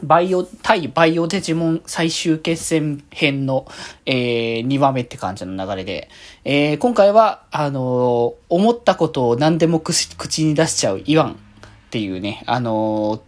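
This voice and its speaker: 丁寧でくだけた語り口の男性ポッドキャスター. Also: male voice, on the high side, lively intonation, normal speed. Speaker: solo podcast host. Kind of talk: casual monologue reviewing an anime episode.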